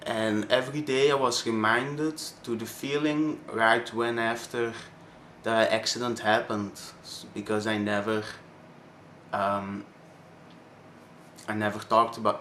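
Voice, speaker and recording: A man of twenty-one from Belgium with a Flemish accent, his first language Dutch, speaking haltingly with many pauses in a personal vlog. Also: fairly low pitch, sharp tone, a little hiss or hum. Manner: hesitant, many ums and pauses. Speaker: vlogger.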